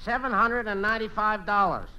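High-voiced polite store salesman. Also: a little fast, sharp tone, clean tone, lo-fi sound. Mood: helpful. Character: polite, obliging male television store salesman. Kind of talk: old-time radio comedy acting. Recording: noisy vintage radio show recording.

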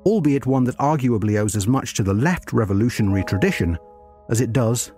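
A man narrating a scripted history article in a measured, formal read-aloud delivery. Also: mid-range voice, expressive, dark tone, faint music behind.

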